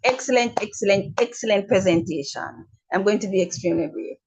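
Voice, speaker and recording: A middle-aged Jamaican woman on a video call, speaking frankly with repeated words and frequent pauses. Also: mid-range voice, a little mellow, slightly rough, poor audio. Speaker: webinar attendee. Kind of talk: casual remarks on a video call.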